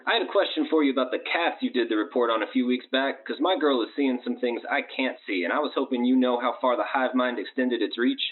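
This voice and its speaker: A man's voice: medium pitch, fast, hardly any pauses. A man playing a friendly, slightly worried regular-guy caller to a radio show, over the phone. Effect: phone line. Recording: thin phone-like caller line.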